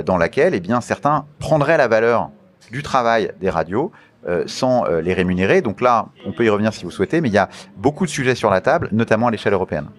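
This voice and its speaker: A man giving a formal interview answer as a guest, speaking in a measured, deliberate way with frequent pauses. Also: fairly low pitch. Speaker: interview guest, media regulator official.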